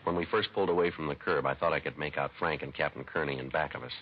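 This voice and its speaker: A man acting and narrating as a terse, hard-boiled American police detective in a 1950s radio drama, clipped, deadpan and matter-of-fact. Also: a bass voice, fast talker, slight background noise. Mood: flat, matter-of-fact. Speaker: lead actor and narrator. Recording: muffled vintage 1950s radio recording.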